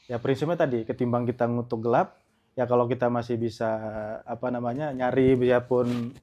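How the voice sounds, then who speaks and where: A man's voice: medium pitch, little pitch movement, mellow. Earnest male podcast guest. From West Nusa Tenggara, Indonesia. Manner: earnest, measured, speech-like phrasing. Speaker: guest, youth organization leader. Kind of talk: casual podcast interview.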